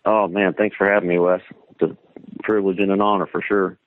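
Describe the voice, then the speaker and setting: A man's voice: sits low, little pitch movement, a little fast, no echo. A man from Kansas, a guest on a show, talking in an eager, casual way and saying "man" a lot over a phone line. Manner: enthusiastic, says man a lot. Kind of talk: phone-in interview.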